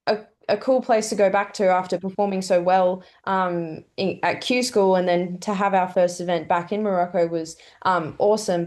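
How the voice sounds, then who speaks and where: A young Australian woman in her twenties, a golfer, answering interview questions in a relaxed, chatty way with lots of "um" fillers. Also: lowish voice. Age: 20s. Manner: chatty, many "um" fillers, laughs often. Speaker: pro golfer guest.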